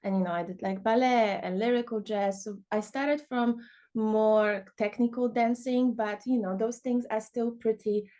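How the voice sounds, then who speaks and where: A woman's voice: on the high side, fairly even intonation, normal speed, warm tone. Chatty young woman. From Poland. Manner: chatty, many fillers like "you know". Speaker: guest, dance teacher. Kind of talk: casual podcast interview.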